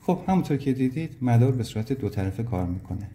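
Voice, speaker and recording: A man's voice: mid-range voice, expressive, dark tone. A man from Iran explaining step by step as an electronics instructor, in a plain, methodical way. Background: background music.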